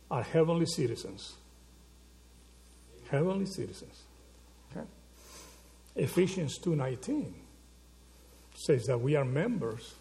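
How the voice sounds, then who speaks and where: A man's voice: mid-range voice, creaky, mellow. A man from Argentina, preaching a sermon, asking rhetorical questions and checking in with "okay?" and "right?". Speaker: pastor.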